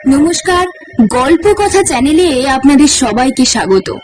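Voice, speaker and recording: A woman narrates a spooky tale in a high, clear register. Her delivery is measured and steady, a practiced storyteller's pace. A faint hum of ambience sits behind her. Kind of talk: scripted horror narration.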